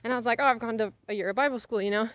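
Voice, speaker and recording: Young woman, fairly high-pitched, casual confessional chat, animated retelling, dry close sound. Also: very fast, no echo, lo-fi sound. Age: young adult. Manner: animated, acts out quoted conversations. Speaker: vlogger.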